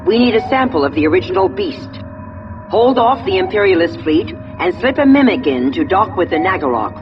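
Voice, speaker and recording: An actress playing a fleet command officer in a space game, giving clipped, authoritative mission orders with composure. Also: female voice, medium pitch, very animated, fairly quick, lo-fi sound, over music, close to an everyday voice. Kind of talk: video game mission announcements.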